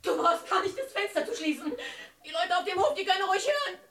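An actress playing a landowner's bitter, drink-craving wife in a radio play, scornful and taunting. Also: female voice, very high-pitched, lively intonation, fast, fairly bright tone, recorded from a distance, heavily acted. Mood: scornful, demanding. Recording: roomy, set back from mic.

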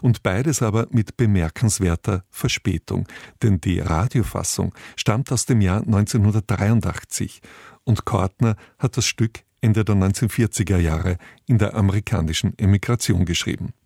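A man giving a scripted, formal radio introduction in a measured, factual way, as a presenter. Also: on the low side, gravelly, a little mellow, breathy edge, no echo, clean studio sound.

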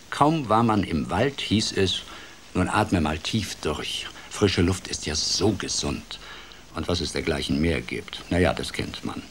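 A man's voice: low voice, slight background noise. An actor playing a playful, wry older grandfather telling a fairy tale expressively in a radio play. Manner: expressive, dramatic, shifts between hushed and lively. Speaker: storyteller.

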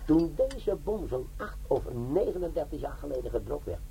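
Cocky army bomb-disposal sergeant, darkly joking, animated delivery, comic radio drama, some background noise. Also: male voice, on the high side, normal speed, poor audio. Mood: teasing. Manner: chatty, teasing, darkly joking. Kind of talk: Dutch comic crime radio drama acting.

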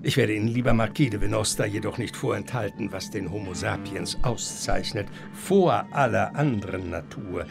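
An actor in a German radio play playing an eccentric, learned professor who lectures in an emphatic, rhetorical way. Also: male voice, on the low side, normal speed, vocal fry, hardly any pauses. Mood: emphatic, fervent. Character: eccentric, learned male professor lecturing grandly on life and nature. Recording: radio play with music underneath.

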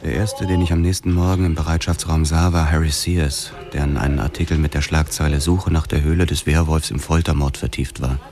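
A man narrating in the first person in a measured, hard-boiled style for a German radio drama. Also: extremely low pitch, steady tone, darkish tone.

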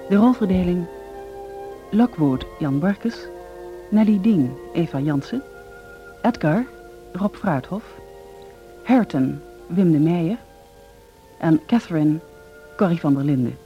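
Male radio announcer for closing credits, formal and deliberate, slightly high voice, muffled lo-fi sound. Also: animated. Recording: dull lo-fi radio recording, faint music.

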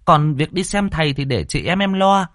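A man voicing a loud, brash, gossipy village woman who exclaims and shrieks. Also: on the high side, expressive, moderate pace, no room sound, studio quality, voice pushed up. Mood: bossy.

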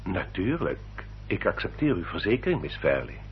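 An actor playing a courteous, guarded gentleman suitor in a radio drama, with polite and stiffly formal speech. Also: sits low, sing-song, normal speed. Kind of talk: Dutch radio drama acting. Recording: dull, noisy old radio recording with hum.

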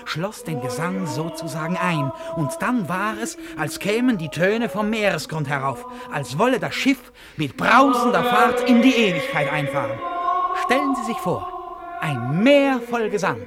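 An actor in a German radio play, playing an eccentric, dreamy gentleman who speaks in a courteous, poetic way. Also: high voice, big pitch swings, unhurried, over music. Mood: rapt, dreamy.

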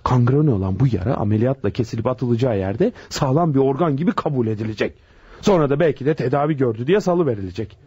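A passionate junior police detective in a radio play. His mellow, husky voice swings widely in pitch with theatrical emphasis. The recording is dull and dry. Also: animated, quick pace, dry recording. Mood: bitter indignation. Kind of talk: Turkish radio drama acting.

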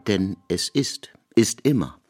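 An actor playing a solemn, priestly narrator of myth in a literary radio drama, declamatory and incantatory. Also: male voice, fairly low pitch, expressive, slow, a little mellow, soft background music.

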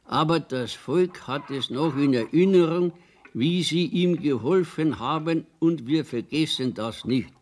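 An actor playing a simple, earnest Bavarian countryman in a radio play, speaking haltingly as he composes a letter, with a Bavarian accent. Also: a little high, a little mellow. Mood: devoted, concerned. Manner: slow, halting dictation to himself as he writes. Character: simple, devoted rural Bavarian man laboriously writing a letter. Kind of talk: radio play acting in dialect.

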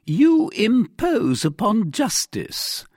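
A pompous, easily flustered police commissary from an audiobook. The voice is pitched very high and swings wildly, with a husky edge. He questions imperiously and indignantly, drawing out his words. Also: huge pitch swings, extremely slow, a little mellow, dry recording, studio quality, heavily acted. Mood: stern, accusing. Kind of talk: dramatized audiobook reading.

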